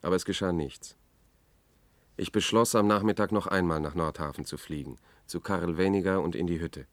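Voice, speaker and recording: An actor as a haunted, obsessive male reporter in a science-fiction radio play. His voice is low, and he tells his story with brooding, measured intensity. It is recorded dry and close. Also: no room sound, close to an everyday voice. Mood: tense, unravelling. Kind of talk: German radio drama, first-person narration.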